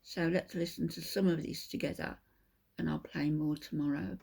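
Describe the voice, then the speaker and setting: An elderly woman, seventies or older, talks in a casual, reminiscing way. Her voice is low for a woman and heavily creaky. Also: fairly even intonation, normal speed, darkish tone. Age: 70s or older. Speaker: channel host.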